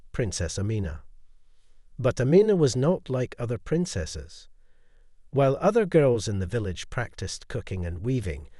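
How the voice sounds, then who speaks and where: Animated, mellow male storyteller, close and dry. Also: mid-range voice, no room sound. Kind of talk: scripted bedtime folktale narration.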